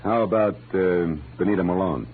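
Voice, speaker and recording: Very deep hard-boiled radio detective played by a man. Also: normal speed, a little hiss or hum, close to an everyday voice. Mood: brisk questioning. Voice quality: low-pitched. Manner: clipped, wry, hard-boiled. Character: tough, wry male private detective narrating his own case. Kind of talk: 1940s radio acting and first-person crime narration. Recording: narrow, tinny old radio recording with music.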